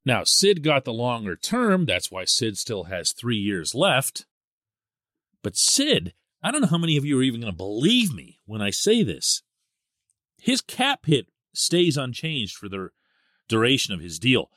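A man hosting a sports podcast as a columnist, giving solo commentary in an animated, emphatic way. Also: medium pitch, measured pace, no room sound, studio quality. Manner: animated and emphatic, stresses key words.

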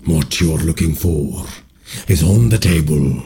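A man's voice: extremely low pitch, slow pace, vocal fry, warm tone, slightly rough, pitched below the performer's own voice, heavily acted. A man voicing an ancient, evil cosmic being that rules a realm of terror, speaking in a cold, commanding way.

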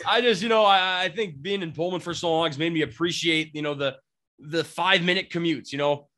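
A man's voice: fairly high pitch, fairly quick, bright, forceful tone. A congested young man in his twenties from Washington state, co-hosting a casual sports podcast in a rambling, chatty way. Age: 20s. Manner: rambling, chatty, many restarts and fillers. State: congested from a cold. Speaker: podcast co-host and beat writer.

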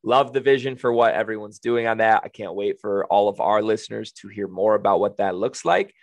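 A man co-hosting a casual podcast, upbeat and joking, with a raspy voice. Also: fairly low pitch, fairly quick, no room sound. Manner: upbeat, joking, plugs upcoming episodes. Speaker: podcast co-host.

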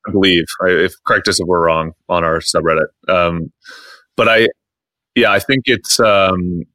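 A man's voice: low voice, fairly even intonation, normal speed, sharp tone, no echo. A man hosting a casual podcast chat, rambling with frequent restarts and fillers. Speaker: podcast host. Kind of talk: casual chat about internet posts.

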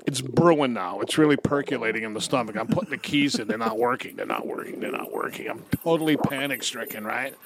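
A man's voice: mid-range voice, fast talker, vocal fry, few pauses, heavy background noise. A man co-hosting a podcast, telling comic stories casually in a profane, emphatic way. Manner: profane, emphatic, animated storyteller. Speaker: podcast co-host. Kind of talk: casual comic storytelling and banter.

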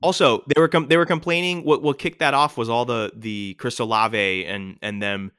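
A male podcast co-host with a bright, forceful voice, tossing out playful, emphatic banter. The sound is dry and close to the mic. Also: medium pitch, moderate pace, clear, resonant tone, no room sound. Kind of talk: casual sports podcast chat.

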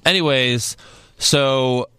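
A man's voice: medium pitch, very slow, bright, forceful tone, clean tone, no room sound. A man co-hosting a podcast, recapping a wrestling show in casual, sarcastic chat. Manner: laid-back, sarcastic, lots of fillers.

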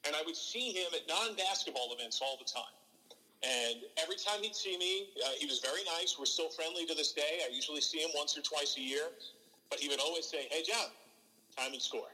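Man, middle-aged, sportscaster, very bright tone, echoing reverb. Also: on the high side, a little fast. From from New Jersey. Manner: earnest, animated, frequent restarts. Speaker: baseball play-by-play broadcaster, podcast guest. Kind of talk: relaxed interview storytelling.

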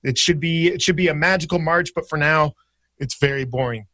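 A man's voice: a little high, a little fast, bright, forceful tone, dry recording. A man co-hosting a casual sports podcast, chatty and jokey as he steers the segments. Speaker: podcast co-host.

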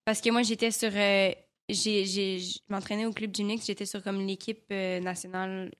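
A young woman speaks in a Québécois French accent, relaxed and talkative as a guest in an interview. She hesitates often and strings thoughts together loosely. Her voice sits at an ordinary middle pitch. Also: moderate pace. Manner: chatty, full of fillers like "comme" and "euh". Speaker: actress guest. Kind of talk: casual interview chat.

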